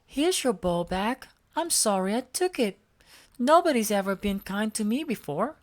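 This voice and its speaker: A woman voicing a guarded young girl with a soft heart in a children's storybook. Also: mid-range voice, big pitch swings, measured pace, voice pushed up. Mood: shy.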